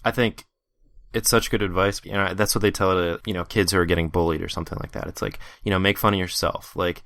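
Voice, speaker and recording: A man hosting a podcast, talking in a monotone, relaxed and agreeable way. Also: sits low, fast talker, dry recording, clean studio sound. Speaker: podcast host. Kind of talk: podcast conversation.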